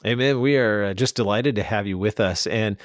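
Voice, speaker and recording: A male radio host with a fairly low voice talks in a conversational interview style. His sound is dry and close to the microphone, with little room around it. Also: fairly quick, dry recording.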